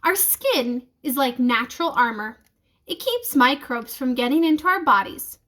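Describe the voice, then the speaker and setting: A woman's voice: sits high, animated, normal speed, fairly bright tone. A woman reading the part of a studious schoolgirl who recites facts in a matter-of-fact way in a children's storybook. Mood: informative.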